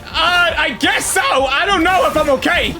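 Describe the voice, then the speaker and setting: A man reacting to a boss fight as he plays, exclaiming and shouting constantly in excitement. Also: extremely high pitch, normal speed, loud music behind the voice. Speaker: gaming channel host. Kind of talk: live gameplay reaction.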